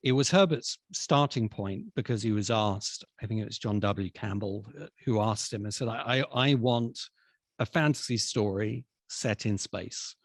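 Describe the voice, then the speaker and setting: Male expert guest, lowish voice, deliberate explainer, very dry sound. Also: dry recording. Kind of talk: explanatory conversation.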